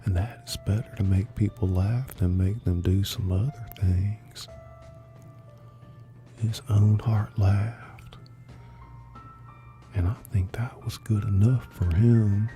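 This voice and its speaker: Guy acting as kindly old-tale storyteller, super soft dark tone, slow and reflective, gentle. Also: lowish voice, fairly even intonation, soft background music. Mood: reassuring, reflective. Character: kindly storyteller narrator recounting Scrooge's tale to young listeners.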